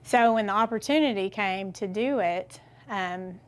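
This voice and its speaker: A woman's voice: medium pitch, a little slow. A woman, the owner's daughter, giving earnest, eager interview answers.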